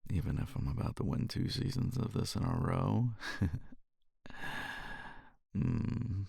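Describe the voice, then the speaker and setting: A man playing a cocky, flirtatious competitor in an intimate romance ASMR roleplay, murmuring teasingly with a gravelly, husky, breathy voice close to the microphone. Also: lowish voice, expressive, dark tone, dry recording, full character voice. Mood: seductive, challenging. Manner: teasing, unhurried, murmuring with sighs and pauses.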